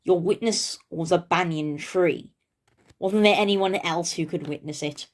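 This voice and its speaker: A woman's voice: fairly low pitch, unhurried, noisy background, lightly acted. A woman voicing a stern king who passes judgment in a children's folk tale. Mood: questioning. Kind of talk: children's storybook reading.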